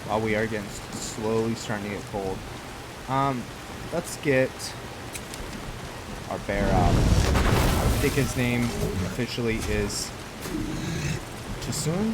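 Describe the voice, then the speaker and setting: A man giving casual let's play commentary as a gaming host, thinking aloud in an unhurried way. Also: mid-range voice, heavy background noise. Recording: loud rain and thunder game audio behind. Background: game rain and thunderstorm ambience.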